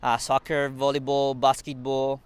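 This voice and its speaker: Man with a Chinese accent, halting English. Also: medium pitch, completely flat delivery, smooth. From China. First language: Mandarin. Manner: halting non-native English with frequent fillers and restarts; chuckles now and then. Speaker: interview guest. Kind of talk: casual interview.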